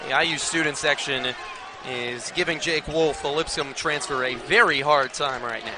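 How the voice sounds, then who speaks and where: An American man doing live basketball play-by-play as an announcer, with an emphatic, projected broadcast delivery. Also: on the high side. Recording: loud arena crowd noise behind. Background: crowd and basketball bounce.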